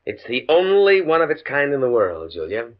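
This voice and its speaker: Animated male voice, acted absent-minded earnest professor in his thirties, 1950s radio drama, dull recording. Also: mid-range voice, normal speed, lightly acted. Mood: proud, enthusiastic. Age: 30s. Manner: hesitant, stammering, preoccupied.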